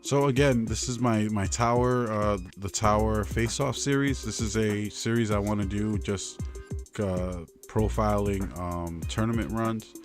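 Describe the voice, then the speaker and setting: A man's voice: lowish voice, fairly even intonation, measured pace, music in the background. Laid-back man hosting a gaming stream. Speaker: stream host. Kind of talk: casual live-stream chat.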